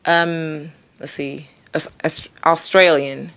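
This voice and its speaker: A woman in her late thirties with a Southern US lilt talks in a loose, rambling way. Her voice sits a little low, and she fills her sentences with ums and likes. She sounds like she is chatting off the cuff. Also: fairly even intonation, slow, fairly bright tone. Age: 30s. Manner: chatty, rambling, many fillers. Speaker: comedian and mimic. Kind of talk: casual showcase of accent impressions.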